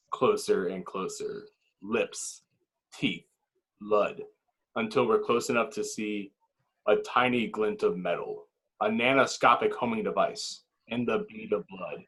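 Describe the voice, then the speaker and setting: Husky man narrating a screenplay. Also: on the low side, rough recording. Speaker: narrator reading stage directions. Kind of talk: dramatic screenplay narration at a table read.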